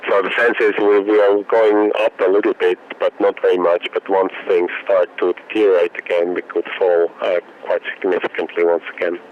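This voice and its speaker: Over a dull, lo-fi phone line comes a very low male voice. He is an analyst giving off-the-cuff expert commentary, halting and doubling back on words as he works out his answer. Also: little pitch movement. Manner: hesitant, halting, repeating words as he thinks. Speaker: bank market analyst, interview guest. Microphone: telephone.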